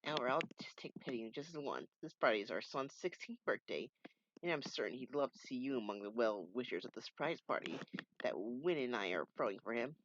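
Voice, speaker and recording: A man voicing a cool, composed female echidna mother in a crisp, formal manner. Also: medium pitch, expressive, fairly quick, a bit bright, no echo, muffled recording, close to an everyday voice.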